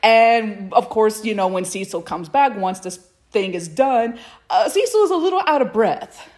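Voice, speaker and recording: A woman co-hosting a podcast in casual chat, telling things in a halting, rambling, playful way. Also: mid-range voice, animated, darkish tone. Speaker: podcast co-host. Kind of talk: casual chat about fan fiction recordings.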